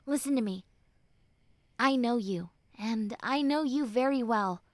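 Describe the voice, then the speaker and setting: A woman playing a normally mean young tsundere who turns tender and caring, speaking haltingly and earnestly to the listener in an intimate roleplay. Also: on the high side, no room sound, lightly acted. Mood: earnest, bashful. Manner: halting, bashful, earnest, soft and breathy when consoling. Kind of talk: intimate roleplay audio drama, spoken to the listener.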